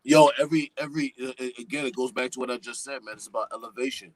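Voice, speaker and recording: A man, a guest hip-hop artist chatting on a podcast, animated, full of street slang and swearing, and talking at length. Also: very fast, poor audio. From New York. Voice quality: deep voice. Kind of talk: casual podcast chat.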